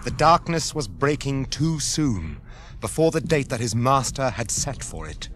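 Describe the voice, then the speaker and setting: A British man narrating a radio fantasy drama in a grave, measured, portentous manner. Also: mid-range voice, very animated, fairly bright tone, slight background noise. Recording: radio drama with faint music behind.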